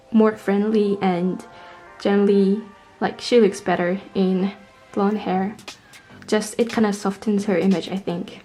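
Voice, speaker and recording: Mellow young woman chatting, vlog style. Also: mid-range voice. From Poland. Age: young adult. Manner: chatty, informal. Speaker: vlogger. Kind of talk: casual storytelling to camera.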